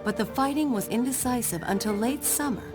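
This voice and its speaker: An actress playing a reflective daughter who narrates game cutscenes in a measured, solemn storytelling manner. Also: female voice, a little high, over music, close to an everyday voice. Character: reflective daughter narrating her father's war deeds.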